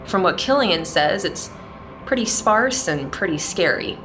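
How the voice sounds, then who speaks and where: A woman's voice: fairly low pitch, expressive, a little slow, rough recording. A woman playing a sardonic, casual cyber-security specialist recording a personal log in a sci-fi audio drama. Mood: sober, uneasy. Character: wry, sharp female cyber-security specialist keeping a log. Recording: music bed under the voice.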